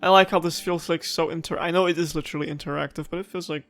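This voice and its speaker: A man's voice: fairly high pitch, steady tone, rapid-fire, creaky, a bit bright, soft background music. A man giving chatty, casual let's play commentary and reading game dialogue aloud, with a self-deprecating manner. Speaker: gaming streamer.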